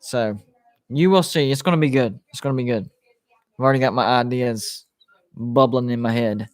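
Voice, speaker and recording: A man's voice: medium pitch, normal speed. A man in his late thirties hosts a live sports watch-party stream, chatting casually and reading viewer comments aloud. His talk rambles, with plenty of restarts and fillers. The sound is dry and close, with little room echo. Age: 30s. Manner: rambling, restarts, lots of "like" and "you know".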